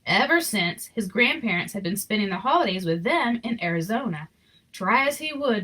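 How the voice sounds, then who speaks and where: A woman reading a children's picture book aloud in an expressive, informal way with chatty asides. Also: fairly high pitch, low-quality recording. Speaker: story reader.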